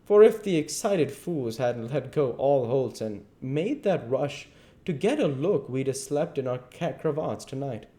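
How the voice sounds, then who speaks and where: A man's voice: medium pitch, animated, unhurried, mellow, voice pushed up, close to an everyday voice. A man voicing a domineering, scornful swindler who scolds in folksy rural speech, in a dramatised audiobook. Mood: scolding.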